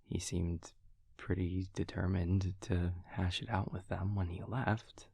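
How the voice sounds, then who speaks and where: A man playing an affectionate, wry vampire boyfriend in an intimate audio roleplay, speaking casually and hesitantly in a low, gravelly voice. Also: steady tone, takes it slowly, dry recording, studio quality, full character voice. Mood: thoughtful, hesitant. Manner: hesitant, casual, softly teasing. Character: affectionate, wry male vampire boyfriend, self-deprecating and easygoing.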